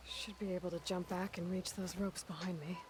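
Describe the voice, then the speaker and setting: Game heroine, female performer: young, pensive, low-pitched, muttering to herself over clear background noise. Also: steady tone, a little fast, natural voice. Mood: pondering. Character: pensive, determined young woman adventurer talking to herself. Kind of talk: video game voice acting.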